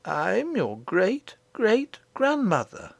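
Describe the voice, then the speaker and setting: A woman voicing a kindly, dignified old great-great-grandmother queen in a storybook audiobook, gentle, gracious and composed. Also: mid-range voice, huge pitch swings, very slow, creaky, dry recording, pitched above the performer's own voice, exaggerated voice. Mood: kindly, patient.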